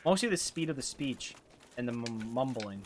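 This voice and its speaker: A man's voice: medium pitch, expressive, normal speed, slight background noise. A man with a Canadian accent giving casual live gameplay commentary, rambling and slangy as he thinks aloud. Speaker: game streamer.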